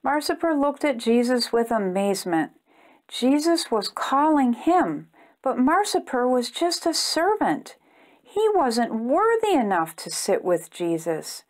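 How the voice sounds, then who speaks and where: A woman reads a children's storybook aloud. Her voice sits fairly high and moves with lots of melody. She takes her time, speaking expressively as a storytime host does for small listeners. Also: measured pace. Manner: expressive, simple phrasing aimed at young children.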